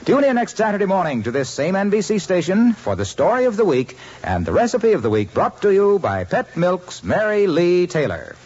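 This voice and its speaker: A man's voice: high voice, big pitch swings, normal speed, few pauses, darkish tone, soft background music. A male radio announcer giving an earnest, polished 1950s ad read. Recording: dull old radio broadcast with light hiss.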